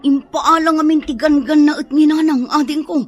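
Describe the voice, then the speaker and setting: An actress playing a concerned, protective elder-sister figure in a Filipino radio drama. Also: female voice, high voice, steady tone, normal speed, soft background music, lightly acted. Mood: concerned, cautioning.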